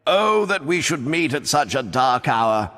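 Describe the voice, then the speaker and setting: A man's voice: mid-range voice, big pitch swings, a little slow, a bit bright. An actor playing a jovial, blustering old-fashioned king with a plummy, pompous upper-class British accent in a video game. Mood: grand, dismayed. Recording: long echoing hall reverb.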